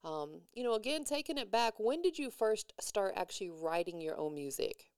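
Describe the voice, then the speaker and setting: A woman hosts a relaxed interview in a smooth, resonant voice, warm and affirming toward her guest. The sound is dry and close, without any room echo. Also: medium pitch, normal speed. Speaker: interview host. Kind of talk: casual interview.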